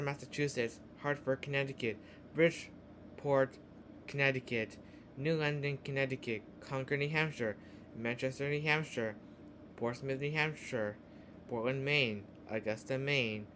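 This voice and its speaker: A man reading a scripted emergency broadcast announcement in a halting delivery, as an alert announcer. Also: medium pitch, a bit bright, a little hiss or hum. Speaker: emergency alert announcer in a fan-made scenario.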